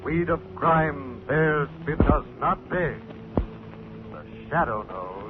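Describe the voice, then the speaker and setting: An American actor playing a mysterious, commanding crime fighter with an imperious, menacing manner in a 1940s radio drama. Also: male voice, fairly high pitch, animated, slow. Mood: ominous. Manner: imperious, ominous. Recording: scratchy, tinny 1940s radio broadcast.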